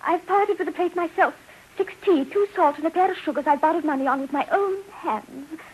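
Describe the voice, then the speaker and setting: An actress playing a genteel, long-suffering debtor's wife in a theatrical, flowery manner for a 1950s radio drama. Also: female voice, extremely high pitch, brisk, rough recording. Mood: plaintive, genteel. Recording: hissy old 1950s radio recording.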